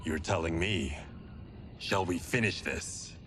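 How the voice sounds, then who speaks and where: A dry wry fighter. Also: male voice, deep voice, takes it slowly, noisy background. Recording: game cutscene with loud music.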